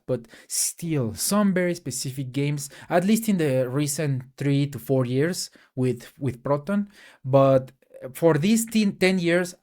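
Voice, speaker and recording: Slow, halting Mexican-accented man. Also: medium pitch, darkish tone. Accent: Mexican Spanish. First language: Spanish. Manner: hesitant, many fillers and restarts. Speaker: tech YouTuber. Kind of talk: casual solo rant to camera.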